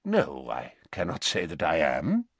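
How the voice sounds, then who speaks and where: A man voicing an elderly male wizard headmaster, speaking quietly and with measured courtesy. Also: rumbling low, wildly swooping pitch, husky, no room sound, pitched below the performer's own voice, exaggerated voice. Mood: calm.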